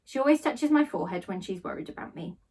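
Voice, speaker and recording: A woman reading a children's story aloud in an expressive, animated storytelling style. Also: mid-range voice, fast, slightly rough, distant mic. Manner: animated read-aloud delivery. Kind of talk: expressive storybook narration in first person.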